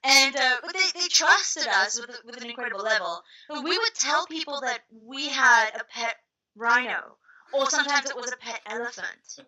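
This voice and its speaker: A young adult woman from South Africa, a media academic, chatting as a guest and telling stories in a lively way. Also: sits high, normal speed, rough recording. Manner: lively storytelling, laughs easily. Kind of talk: casual interview chat.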